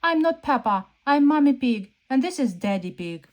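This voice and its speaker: Woman voicing a bright-eyed, high-pitched bossy little girl pig. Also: big pitch swings, normal speed. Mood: bossy, insistent. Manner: eager, declarative, pretend grown-up airs. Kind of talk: storybook character voice.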